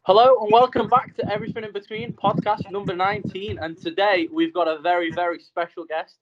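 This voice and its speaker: A man's voice: high voice, fairly even intonation, a little fast, over music. A young man hosting a podcast, introducing a guest in an upbeat, chatty way over a video call. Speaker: podcast host. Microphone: video call webcam. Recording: dull video call audio.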